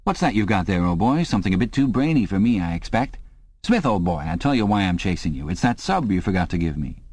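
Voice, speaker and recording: A man's voice: sits low, very animated, fast, darkish tone, breathy edge, no echo, exaggerated voice. A man voicing a hearty, sweaty, boorishly keen middle-aged man who talks in a chummy, blustering way.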